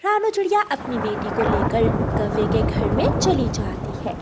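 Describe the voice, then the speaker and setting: A woman's voice: fairly high pitch, very animated, normal speed. A woman giving scripted narration for a children's story. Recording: loud storm noise behind. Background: thunder and rain.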